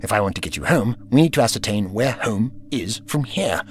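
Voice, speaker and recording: A man's voice: on the low side, lively intonation, a little fast, slightly rough. Guy playing a grumpy imperious alien doctor in an audio drama, lectures like a stuffy professor, voice swings all over. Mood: pompous, scolding. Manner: pompous, scolding, professorial. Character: imperious, fussy, irritable time-travelling alien doctor scolding his companion.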